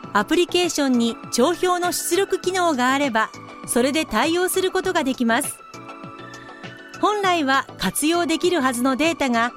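A woman narrating scripted explanations in a polite, instructive way. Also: sits high.

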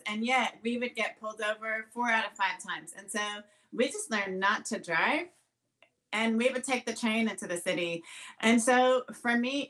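Bright-voiced energetic woman educator. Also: fairly high pitch. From raised in the Netherlands. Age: middle-aged. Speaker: educator and guest. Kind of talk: conversational interview answers.

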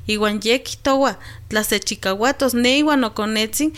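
A woman's voice: fairly high pitch, measured pace, fairly bright tone. A woman playing a chatty young village mother in a radio drama, conversational and exclamatory. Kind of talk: radio drama dialogue in Nahuatl.

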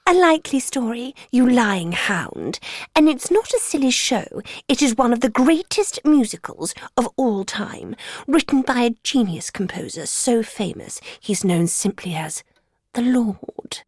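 A woman plays a sneering female cat in a children's audiobook, taking her time over each taunt. The voice is high and breathy, swooping with theatrical condescension. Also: lively intonation, a little slow, dry recording, studio quality. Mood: smug, mocking. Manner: purring, condescending, theatrical drawl.

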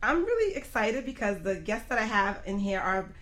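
A woman's voice: medium pitch, sing-song, normal speed, fairly bright tone. A woman hosting a casual talk radio show as a coach, chatty, informal and emphatic.

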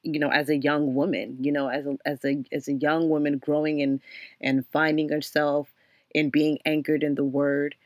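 A young adult woman hosting her own podcast, talking alone in an earnest, reflective way with frequent ums and restarts, her voice slightly hoarse. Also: deep voice, flat delivery, normal speed, dry recording. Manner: many ums and restarts, faith-centered, earnest. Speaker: podcast host. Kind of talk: reflective solo podcast monologue.

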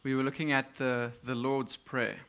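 Slow man preaching in short phrases. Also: medium pitch, flat delivery, fairly bright tone, smooth. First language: Afrikaans. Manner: speaks in short phrases, pausing for translation. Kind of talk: sermon with live interpreter.

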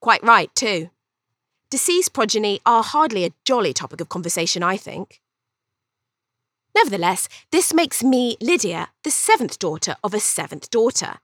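A woman's voice: medium pitch, big pitch swings, moderate pace, a bit bright, dry recording, clean studio sound. A woman voicing a cheeky, vain young Regency Englishwoman telling her own story in an arch, chatty, theatrical way for an audiobook. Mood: wry, confiding. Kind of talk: first-person audiobook storytelling.

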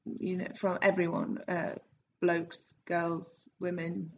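A woman's voice: on the low side, little pitch movement, slow pace, dull audio, a little hiss or hum. Effusive woman in her late thirties. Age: 30s. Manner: enthusiastic and effusive. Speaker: actor in the cast. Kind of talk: casual group interview. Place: rehearsal room.

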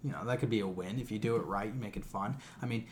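A man's voice: lowish voice, fast, darkish tone. A man co-hosting a podcast, chatting casually and emphatically with plenty of opinions and casual swearing. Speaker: podcast co-host.

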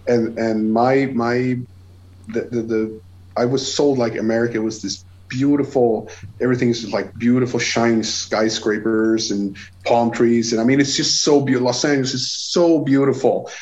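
A man's voice: medium pitch, steady tone, moderate pace, a little mellow. A Swedish man in his forties with a Swedish accent, a guest musician talking casually, rambling and restarting his sentences. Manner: rambling, many restarts and repeated words. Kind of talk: casual conversation. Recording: lo-fi dull sound.

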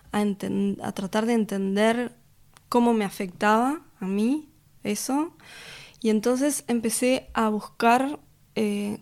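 A woman, a musician and record producer, talking through her work in an interview with a thoughtful, halting manner, full of fillers and restarts. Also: mid-range voice, gravelly, darkish tone. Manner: thoughtful, hesitant, many fillers and restarts, laughs often. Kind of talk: casual interview conversation.

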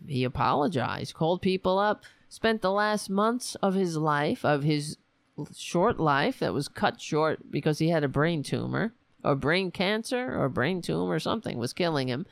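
A hoarse woman hosting her own political talk show, drawling slowly with a gravelly edge to her voice. Her pitch swings widely as she rambles and jabs sarcastically. The sound is close and dry. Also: dry recording. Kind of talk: casual political commentary.

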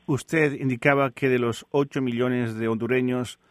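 A man hosting a radio interview from a studio, asking formal, measured questions. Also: medium pitch, steady tone, dry recording.

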